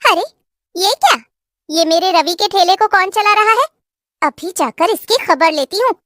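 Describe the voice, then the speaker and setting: A woman's voice: very high-pitched, animated, unhurried, a bit bright, no echo, studio quality, pitched above the performer's own voice, full character voice. A woman voicing an indignant, quarrelsome neighbour woman in a story, scolding and confrontational.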